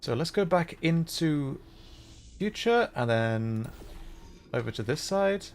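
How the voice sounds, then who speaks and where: A man's voice: fairly high pitch, animated, slow. A man streaming a game with casual commentary, talking to himself as he works things out. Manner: muttering to himself while working through puzzles. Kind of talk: casual livestream gameplay commentary, thinking aloud.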